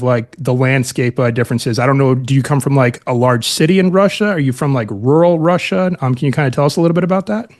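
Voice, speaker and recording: Brief: male podcast host, casual, hesitant phrasing, many fillers. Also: mid-range voice. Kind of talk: casual interview.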